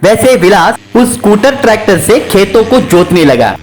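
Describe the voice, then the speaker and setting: A storyteller delivers a scripted tale in narration. The voice sits at a medium pitch. Music plays behind it. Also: very animated, normal speed, a little mellow. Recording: music under the voice. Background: background music.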